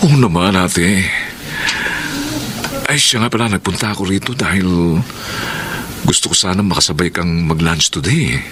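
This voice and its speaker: A man's voice: sits low, normal speed, long pauses, lightly acted. A Filipino actor playing an affectionate younger brother who hides his troubles, in a radio drama. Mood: playful, affectionate. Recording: clear background noise and ambience.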